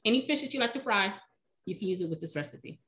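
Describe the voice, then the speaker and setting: A woman in her thirties answering cooking questions in a relaxed, chatty way on a video call from her home kitchen. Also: mid-range voice, fast, slight background noise. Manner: relaxed, chatty, explains by eye. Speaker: cooking demonstrator, recipe blogger. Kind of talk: casual live Q&A. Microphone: video call, webcam.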